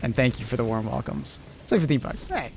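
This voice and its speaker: A man's voice: medium pitch, sing-song, fast, creaky, background noise. A young Canadian man with a mild, near-American accent, chatting casually on a live stream in a loose, rambling way with lots of fillers. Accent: Canadian, close to American. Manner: laid-back, rambling, lots of fillers, chuckles.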